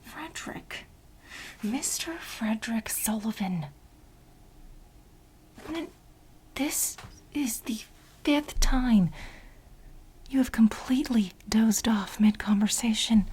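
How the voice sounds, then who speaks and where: A woman playing an obsessive, exasperated administrative assistant in a soft-spoken, whispery ASMR role play, insistent and repeating herself as she pleads with her boss. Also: a little high, a little hiss or hum. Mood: exasperated coaxing. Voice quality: breathy, whispery. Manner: insistent, repetitive, halting for emphasis.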